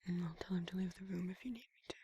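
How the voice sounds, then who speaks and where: A performer voicing a tender, protective male werewolf lover in a soft, breathy, often whispered romantic ASMR roleplay. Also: medium pitch, racing. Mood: tender, soothing. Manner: soft, hesitant, affectionate murmuring.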